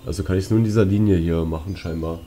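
A man's voice: deep voice, fairly even intonation, fast talker. A man giving casual gameplay commentary in speech, musing aloud as he works through puzzles. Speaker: let's player. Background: game music.

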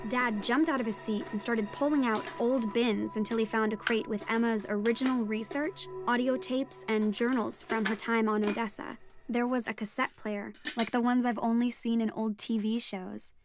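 A teenage girl voiced by an actress recounts her adventure in an audio drama. Her voice is fairly high and her storytelling measured, over a bed of music. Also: fairly even intonation, natural voice. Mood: reflective. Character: teenage daughter recounting a deep-sea adventure. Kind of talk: first-person scripted narration. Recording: music behind the voice.

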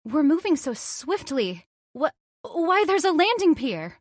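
A woman's voice: sits high, very animated, a little slow, dry recording, clean studio sound. A woman playing an anxious, insistent young woman in an old-time radio horror drama, pleading and questioning dramatically. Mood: uneasy wonder.

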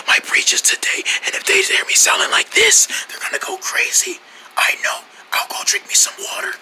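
A performer voicing a melodramatic king who has lost his voice, in a weak, hoarse, breathy whisper, as in a children's cartoon skit. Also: very high-pitched, flat delivery, normal speed, poor audio. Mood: panicked, weak. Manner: melodramatic, panicky.

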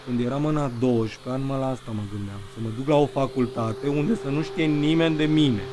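A man's voice: medium pitch, background noise, lightly acted. An actor playing a troubled grown son, a young man confiding in a bitter, wry, conversational way in an audio drama. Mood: bitter, ashamed.

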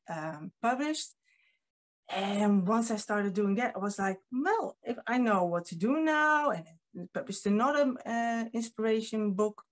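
A Dutch woman in her fifties with a Dutch accent, giving an earnest talk as a publisher, with frequent ums, recorded on a webcam at home. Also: medium pitch. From Netherlands. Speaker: guest speaker, publisher. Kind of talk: online presentation. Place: home room with painting. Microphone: video call webcam.

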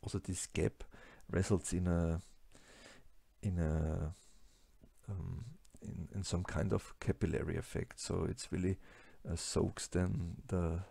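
A man with a German-speaking accent giving a slide lecture, halting with many fillers and restarts. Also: sits low, fairly even intonation, gravelly, dark tone, slightly rough, dry recording. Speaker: lecturer on plastics engineering.

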